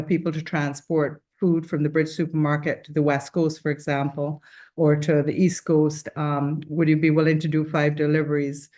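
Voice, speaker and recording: A woman's voice: sits low, a little mellow. A woman, speaking on a panel as a charity founder, earnest and rambling with many fillers and restarts. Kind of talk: casual panel discussion.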